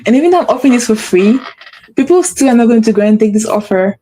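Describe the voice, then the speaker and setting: A woman's voice: on the high side, expressive, fast, warm tone, slight background noise. A woman hosting a motivational talk, speaking with a stutter and repeating phrases for emphasis. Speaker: host.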